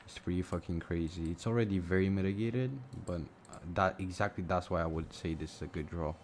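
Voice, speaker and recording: Deep-voiced man, Romanian accent. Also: fairly quick, a little mellow, slight background noise. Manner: casual, thinking aloud, swears freely. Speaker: live-streaming trader. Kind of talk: casual live-stream commentary. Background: music and mouse clicks.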